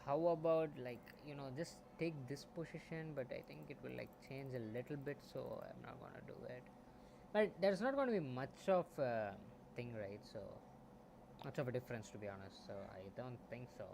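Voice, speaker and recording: A man streaming as a game developer, thinking aloud while he codes, often muttering and trailing off into soft asides, on a quiet home mic. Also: normal speed, gravelly, low-quality recording. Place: home room. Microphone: desktop mic. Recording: faint background noise, low home mic.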